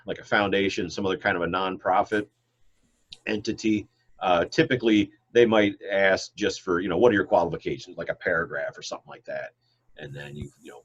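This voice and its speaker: Low-voiced congested male professor. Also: slightly rough. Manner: loose, rambling, many fillers and restarts. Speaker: biology professor. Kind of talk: online class lecture with questions. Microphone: video call. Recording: dull lo-fi video call audio.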